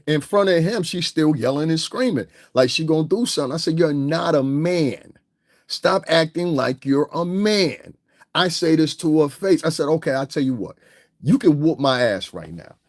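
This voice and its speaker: A man's voice: no room sound, clean studio sound. A man tells a story in a casual rant and acts out the other people's lines in it. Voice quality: deep voice. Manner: animated, acts out others' lines with big pitch jumps. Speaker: vlogger. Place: home in Connecticut.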